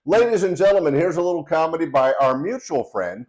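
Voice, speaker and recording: A man hosting a comedy podcast, upbeat and quick to laugh, introducing acts. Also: on the high side, lively intonation, a little mellow, poor audio. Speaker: podcast host.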